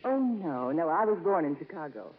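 A woman playing a gentle, earnest American nun in a 1950s radio drama, polite and quietly determined. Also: deep voice, very animated, normal speed, narrow, tinny audio. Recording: reverberant, band-limited, noisy old radio broadcast.

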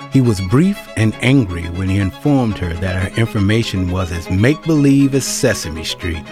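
A man narrating an audio drama as a storyteller with wry asides. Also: on the low side.

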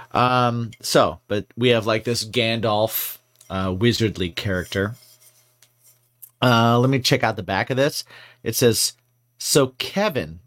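A man's voice: on the low side, a bit bright. A man co-hosting a podcast, chatting casually and rambling playfully with many fillers. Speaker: podcast co-host. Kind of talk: casual chat.

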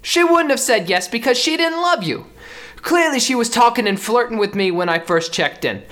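A man's voice: very high-pitched, expressive, normal speed, fairly bright tone. A man playing a cocky, defensive businessman suspected of murder in an amateur audio drama.

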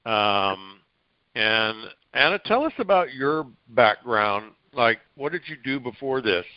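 A man's voice: mid-range voice, very animated, slow pace, no echo. A man hosting an interview, encouraging his guests and coaching the listeners over a video call. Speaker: podcast host, interviewer. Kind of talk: casual interview chat. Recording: dry, dull lo-fi call audio.

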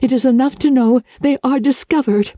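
A woman's voice: high voice, steady tone, normal speed, mellow, no room sound, close to an everyday voice. Woman as an earnest, formal letter-writing uncle. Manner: formal, careful, businesslike. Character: earnest middle-class uncle reading out his letter.

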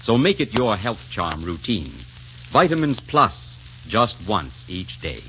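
A man's voice: on the low side, sing-song, slow pace, very noisy. A man announcing on 1940s radio, polished and persuasive in advertising style. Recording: muffled 1944 radio broadcast with music.

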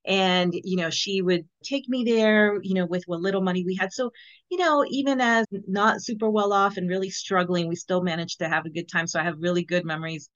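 Lower-pitched woman in her fifties, slightly muffled. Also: fairly quick, fairly bright tone. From born in El Salvador, grew up in Los Angeles. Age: 50s. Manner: conversational, peppered with "you know". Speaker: podcast guest. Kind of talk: casual personal storytelling.

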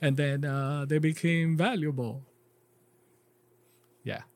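A man's voice: a little high, steady tone, takes it slowly, darkish tone. A man who is the podcast's DJ, chatting casually and answering in short, laid-back replies. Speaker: podcast DJ and co-host. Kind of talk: casual banter.

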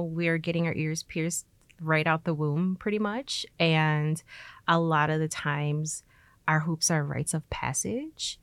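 Female, deep-voiced artist in a casual interview, informal storyteller, close dry sound. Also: no echo.

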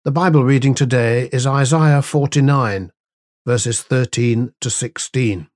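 A man reads a scripted devotional at a slow, deliberate pace, leaving pauses between phrases. His voice sits at a middling male pitch. The recording is dry and close, with music underneath. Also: a little mellow, no echo. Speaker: devotional reader and host.

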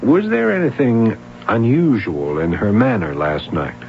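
Low-voiced police sergeant, muffled radio. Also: male voice, lively intonation. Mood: probing. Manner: measured, questioning, hesitant pauses. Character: patient, probing male police sergeant investigating a disappearance. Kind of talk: radio drama acting. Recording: muffled, noisy 1970s radio broadcast recording.

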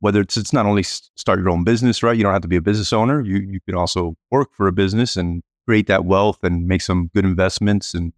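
A man's voice: low-pitched, fairly even intonation, fast, few pauses, no room sound, studio quality. A man from the United States talking as a podcast guest, easygoing and filler-heavy. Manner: easygoing, fillers like "you know". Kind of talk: casual interview talk.

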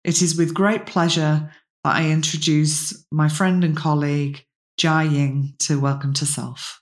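A woman's voice: low voice, fairly even intonation, measured pace. A woman hosting a podcast interview, introducing her guest and asking encouraging questions with frequent affirming yeahs. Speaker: podcast host.

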